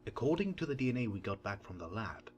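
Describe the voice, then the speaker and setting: Man acting a low-voiced, formal coroner. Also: sing-song, quick pace, darkish tone, slight background noise. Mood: formal, grave. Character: male morgue coroner, businesslike, deferential, uneasy. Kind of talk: fan audio drama acting.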